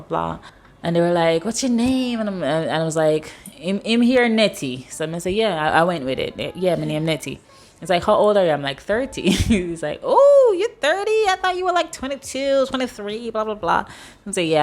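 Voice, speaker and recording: A Jamaican woman in her thirties chats casually and tells lively stories. She acts out other people's lines in sudden high voices. Her voice sits a little low for a woman. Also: gravelly. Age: 30s. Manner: animated storyteller, acts out dialogue in high voices. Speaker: host. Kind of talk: casual chat.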